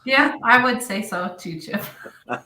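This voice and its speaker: A woman chatting casually on a podcast, agreeable and quick to laugh. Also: a little high, animated, sharp tone, reverberant, distant mic. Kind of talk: casual chat.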